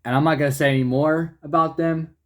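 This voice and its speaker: A young man co-hosting a casual movie review chat, loose and excitable, with restarts and the odd swear word. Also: fairly high pitch, quick pace. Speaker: co-host of a movie review channel.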